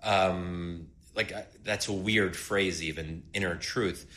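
A man's voice: low-pitched, steady tone, slow pace, fairly bright tone. A man co-hosting a podcast, thinking aloud in a casual intellectual discussion, with frequent fillers and light laughs. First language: English. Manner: laughs lightly while making points. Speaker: podcast co-host.

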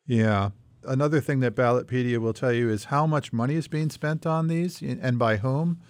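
A man hosting a conversational policy news discussion, explaining things in a measured way. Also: medium pitch, no room sound, clean studio sound. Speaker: podcast host leading the discussion.

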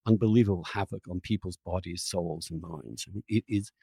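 A man interviewing in a reflective long-form conversation, speaking earnestly and emphatically, often halting and repeating words. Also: low-pitched, creaky, dark tone, husky, no echo, studio quality.

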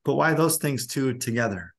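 A man's voice: medium pitch, expressive, moderate pace, creaky, a bit bright. Casual male interview host.

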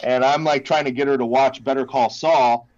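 A man's voice: medium pitch, fairly even intonation, moderate pace, a little mellow, lo-fi sound. A man in his thirties, a podcast guest chatting casually and laughing at himself. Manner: chatty, self-deprecating, laughs often. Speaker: podcast guest, case company founder.

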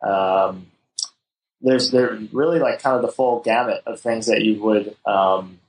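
A man, a wedding photographer guest on a podcast, explaining things in an easygoing, halting way. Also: lowish voice, low-quality recording. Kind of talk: casual interview chat.

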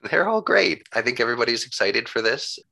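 A man's voice: mid-range voice, big pitch swings, brisk, vocal fry, sharp tone. A man being interviewed in a conversational way, giving wordy, upbeat explanations as a local government employee.

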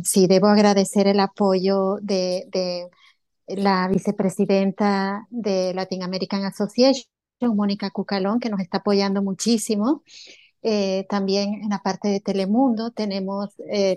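A woman's voice: medium pitch, warm tone. A woman from Bolivia answering interview questions in an earnest way, with many filler sounds. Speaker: entrepreneur guest.

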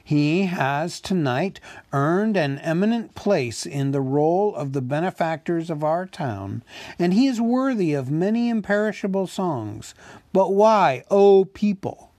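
A man's voice: fairly high pitch, lively intonation, unhurried, a little mellow, dry recording, pitched above the performer's own voice. Man playing a pompous, wily old town master. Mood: wary, smooth. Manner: oratorical, rhetorical questions.

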